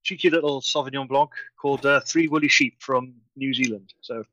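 A man's voice: mid-range voice, steady tone, brisk, bright, forceful tone, no echo, muffled recording. A man from Wales, chatting enthusiastically as a guest on a video call and tripping over his words now and then. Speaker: conference organiser guest. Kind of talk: casual video-call chat.

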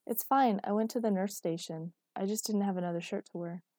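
A woman's voice: medium pitch, a little fast, darkish tone, no echo, clean studio sound, close to an everyday voice. A woman voicing a headstrong, dreamy and defiant woman with naive candour in a dramatic story reading. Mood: defensive.

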